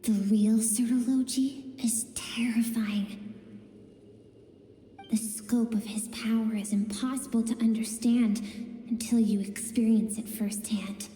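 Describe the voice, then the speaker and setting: An actress playing a calm female guide who tells things in a slow, soft, confiding way. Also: a little high. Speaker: narrator within the game. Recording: reverberant game audio with music.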